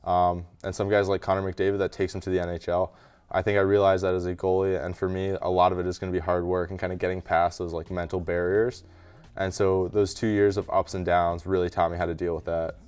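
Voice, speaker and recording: A young man from Canada answers questions in a small brick-walled TV studio through a lapel mic. His voice sits low and comes across clean and smooth, and he speaks quickly and modestly, leaning on words like "kinda.". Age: young adult. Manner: relaxed, modest, many fillers like "kinda" and "um". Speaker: college hockey goalie guest.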